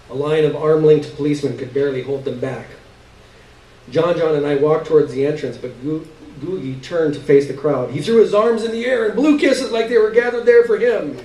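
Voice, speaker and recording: A man reads literary fiction aloud with dramatic delivery. His voice sits a little high. It is captured from some distance in an echoey room. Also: mellow. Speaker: story reader. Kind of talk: dramatic literary reading on stage. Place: live stage reading in a room. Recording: echoey room, distant mic, faint noise.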